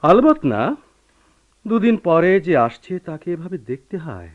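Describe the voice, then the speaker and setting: An actor playing a playful, teasing young husband and friend with theatrical delivery in a radio play. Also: male voice, high-pitched, very animated, slow pace, exaggerated voice. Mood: jovial. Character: jovial, teasing young friend, married and expansive. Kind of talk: Bengali radio play acting. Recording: muffled old radio recording.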